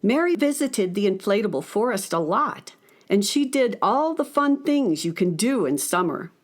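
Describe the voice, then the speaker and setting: An actress playing a friendly narrator of a children's radio story, telling it expressively. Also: female voice, medium pitch, very animated, moderate pace. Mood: storytelling.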